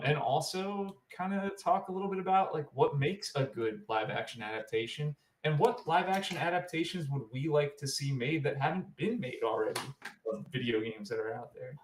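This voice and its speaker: A man hosting a casual podcast, chatting in an informal way. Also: medium pitch. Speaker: podcast host. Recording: roomy, echoing room sound.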